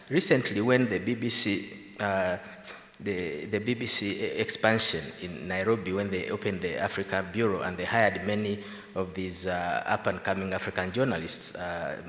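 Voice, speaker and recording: Low-pitched male panelist, lively and argumentative, long hall reverb. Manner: animated, argumentative, laughs between points. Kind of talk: panel discussion remarks. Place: large conference hall.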